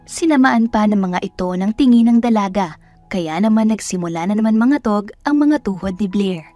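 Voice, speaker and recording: A woman's voice: mid-range voice, lively intonation, normal speed, warm tone, no echo, soft background music. A woman reading a scripted dramatic story as its narrator.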